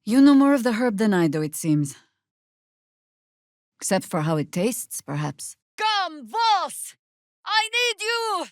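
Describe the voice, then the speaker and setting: An actress playing a stern, battle-hardened older druid heroine in a fantasy video game, dry, sardonic and commanding in delivery. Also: female voice, high-pitched, sing-song, slow, pauses often, no echo, heavily acted. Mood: sardonic, teasing, then urgent battle cries.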